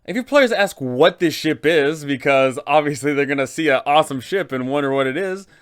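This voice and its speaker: A man's voice: on the high side, animated, fairly quick, fairly bright tone, clear, resonant tone. A man hosting a tabletop game guide, narrating advice for game masters in a casual, emphatic way. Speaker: host, game master advising other game masters.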